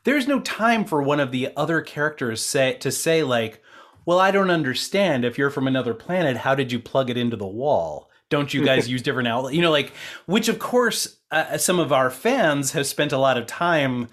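A man's voice: mid-range voice, lively intonation, moderate pace, fairly bright tone. A man interviewing colleagues in a casual, conversational way. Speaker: interviewer and co-producer.

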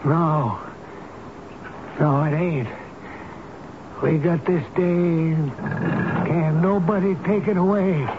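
A man's voice: fairly high pitch, fairly even intonation, takes it slowly, long pauses. An actor playing a stubborn, devoted old elevator man in a radio drama, folksy and plain-spoken, both tender and defiant. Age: older. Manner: folksy, plain-spoken, fond murmuring to his machine. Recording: tinny, noisy old radio broadcast.

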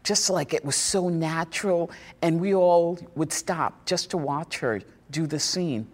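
A woman's voice: sits low, unhurried, big-room sound. A woman, a drama teacher, talking fondly and unhurriedly in a casual interview.

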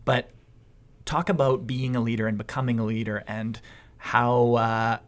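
A man's voice: medium pitch, fairly even intonation, normal speed. A man hosting an interview, asking long, rambling questions in a conversational, encouraging way. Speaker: host.

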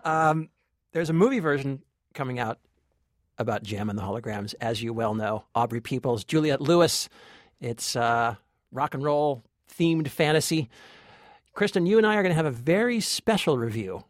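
A man's voice: mid-range voice, lively intonation, moderate pace, no echo, studio quality. A man co-hosting a movie podcast as a film critic, talking conversationally about films.

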